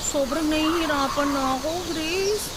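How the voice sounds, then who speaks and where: A woman's voice: sits high, moderate pace, very noisy, natural voice. A woman playing an anguished, hesitant older sister torn by love and guilt in a Tagalog radio drama. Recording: loud music under the voice.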